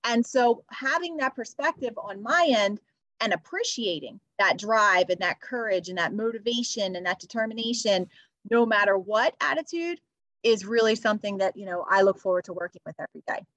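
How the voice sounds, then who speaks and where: A woman's voice: medium pitch, lively intonation, moderate pace, a bit bright, no room sound, low-quality recording. A woman teacher talking in an earnest, upbeat way as a podcast guest, with many "you know" fillers. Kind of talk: podcast interview. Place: near Philadelphia.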